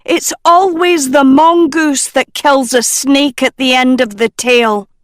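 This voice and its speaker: A woman voicing an exasperated know-it-all schoolgirl who rants loudly in an audiobook. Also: high voice, fairly even intonation, a little slow, no echo, studio quality, voice pushed up. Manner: blaring, ranting. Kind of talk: audiobook character voice.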